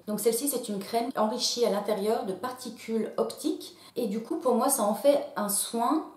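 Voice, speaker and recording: A woman's voice: on the high side, darkish tone, reverberant. Chatty woman reviewing beauty products. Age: late 30s. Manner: chatty, many fillers and restarts. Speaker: beauty vlogger.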